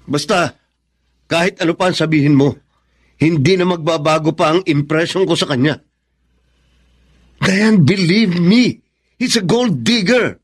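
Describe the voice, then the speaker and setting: A grown son in a radio drama, distrustful and hot-headed. His voice is high for a man, scornful and insistent. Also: unhurried, pauses often, husky. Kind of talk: Tagalog radio drama acting.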